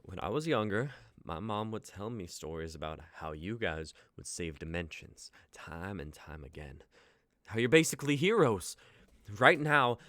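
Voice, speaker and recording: A man's voice: on the low side, sing-song, normal speed, fairly bright tone, dry recording, clean studio sound. A young man playing a nervous, idealistic young driver in a dramatic reading, speaking hesitantly and earnestly. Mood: pleading, impassioned. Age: young adult. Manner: hesitant, stammering. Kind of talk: online dramatic script reading.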